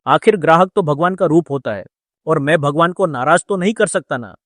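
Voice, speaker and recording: A man voicing a flattering, wheedling scrap dealer in a cartoon story, with a placating manner. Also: fairly high pitch, fairly quick, dry recording, clean studio sound, close to an everyday voice. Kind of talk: Hindi cartoon moral story dialogue.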